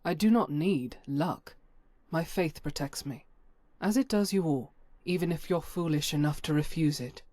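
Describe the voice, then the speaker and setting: A woman's voice: low-pitched, normal speed, warm tone, no room sound, studio quality. A woman acting a stern, devout cleric in a fantasy video game, solemn, formal and righteous. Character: zealous, stern female cleric, devout and unyielding.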